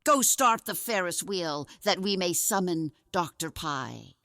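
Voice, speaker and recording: A woman's voice: on the low side, animated, a little slow, very noisy. A woman playing a dignified ringmaster who leads a sect, formal and solemn, in an audio drama with music underneath. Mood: authoritative.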